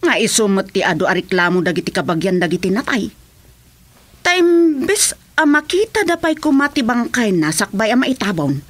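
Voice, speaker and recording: A woman's voice: medium pitch, very animated, moderate pace. A woman playing a gossipy, indignant village councillor in a radio comedy drama.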